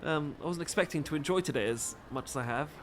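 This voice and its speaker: Guy playing an adorkable british man, slightly high voice, stammers and rambles, super bashful, asmr romance roleplay. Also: fast, slight background noise, close to an everyday voice. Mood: hesitant, hopeful. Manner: stammering, rambling, apologetic, self-deprecating. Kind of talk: intimate ASMR roleplay acting, addressed to listener.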